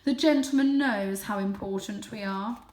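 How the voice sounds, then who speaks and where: A woman's voice: mid-range voice, moderate pace, a little mellow, close to an everyday voice. A woman voicing a proud, irritable, dismissive father cat in a storybook, speaking with emphatic scorn and self-importance. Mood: smug. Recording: echoey room.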